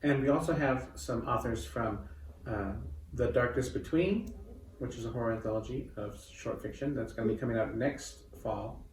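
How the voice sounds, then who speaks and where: A man co-hosting and introducing an event. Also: mid-range voice. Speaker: co-host, publisher. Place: indoors in a bookshop. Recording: echoey, distant mic, faint noise.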